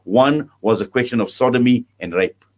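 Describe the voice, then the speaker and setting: A man's voice: medium pitch, darkish tone. Man hosting a studio radio interview. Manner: measured, many filler pauses. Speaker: radio host. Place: broadcast studio. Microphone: studio microphone.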